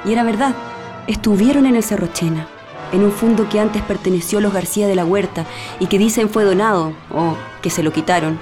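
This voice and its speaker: Grave woman witness played by an actress, mid-pitched, sorrowful and insistent reminiscence, radio play with soft music underneath. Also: a little fast, close to an everyday voice. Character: sorrowful woman insistently recalling atrocities against prisoners. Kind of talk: radio drama acting, reminiscence.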